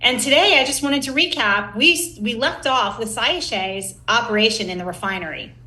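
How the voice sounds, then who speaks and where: A woman's voice: fairly high pitch, moderate pace, bright, forceful tone. A woman co-hosting a podcast, asking questions in a conversational interview style. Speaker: podcast co-host. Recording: roomy, echoey sound.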